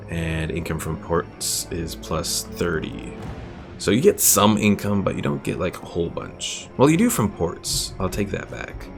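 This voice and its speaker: A man's voice: low voice, creaky. A man livestreaming a strategy game, casually thinking aloud and chatting with his viewers as he plays. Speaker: livestreamer, host of a gaming stream. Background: game music.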